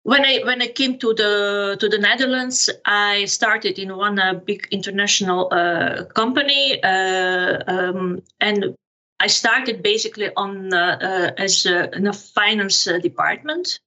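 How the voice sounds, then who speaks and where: A middle-aged woman with a Serbian accent answers as a podcast guest. Her voice is bright and clear-cut in tone, though she talks haltingly, hunting for words. The audio is a little dull and lo-fi. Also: medium pitch. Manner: halting, searching for words, non-native phrasing. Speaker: podcast guest, project management officer. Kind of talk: conversational interview answers.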